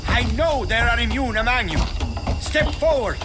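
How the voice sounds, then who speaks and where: Loud music surrounds a very high-pitched male voice: a fanatical cult priest from a video game cutscene. He preaches in a grandiose, menacing way, like one who demands sacrifice. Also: expressive, normal speed. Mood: fervent, menacing. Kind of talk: dramatic game cutscene acting.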